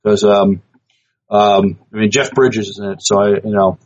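Low male co-host, muffled lo-fi audio. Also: flat delivery, moderate pace, a little mellow. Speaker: podcast co-host. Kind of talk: casual news reading and chat. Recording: dull, lo-fi podcast audio.

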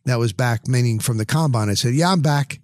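A man's voice: mid-range voice, brisk, dry recording, studio quality. A middle-aged American man from New Jersey hosting a podcast, telling casual anecdotes in short punchy phrases and acting out bits of dialogue. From New Jersey, United States. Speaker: podcast host.

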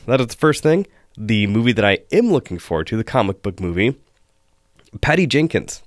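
A man hosting a solo podcast, hoarse and losing his voice, chatting with wry asides. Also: fairly low pitch, no room sound, studio quality. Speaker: podcast host.

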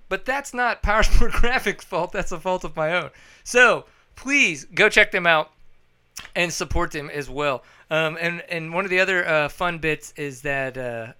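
A male podcast host with a bright, forceful voice that sits a little high. He talks loud and jokey, and his sponsor plugs come out in bursts of energy. The tone is clear and crisp. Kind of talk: casual podcast chat with ad reads.

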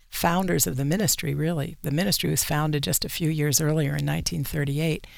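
An older woman answers as a guest in a radio interview. Her voice is very gravelly, full of fry. She speaks conversationally, recorded dry and close. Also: low-pitched, darkish tone, dry recording. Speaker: magazine editor, interview guest.

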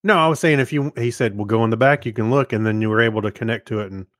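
A man's voice: lowish voice, racing, fairly bright tone, dry recording, clean studio sound. A man co-hosting a podcast, chatting casually and reading out listener messages. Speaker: podcast co-host.

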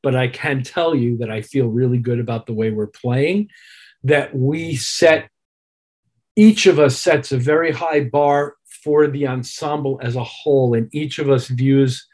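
Male musician as interview guest: husky, medium-pitched voice, reflective and measured delivery. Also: darkish tone.

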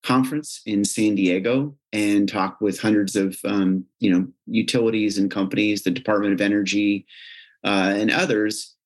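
A man from the States with a somewhat low voice talks easily and conversationally, sprinkling in "you know" as he explains. He sounds relaxed and at home in a business interview. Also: normal speed. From United States. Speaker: company executive, guest. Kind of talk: interview answers.

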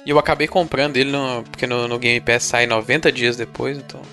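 A man's voice: mid-range voice, fairly quick. Man, Minas Gerais accent, rambling casual chat, faint music behind. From Minas Gerais, Brazil. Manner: relaxed, rambling, with many restarts. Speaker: podcast co-host.

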